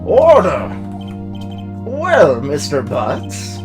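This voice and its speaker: Man putting on a booming, pompous old judge. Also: wildly swooping pitch, very slow, music in the background, full character voice. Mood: commanding. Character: stern, pompous elderly male courtroom judge.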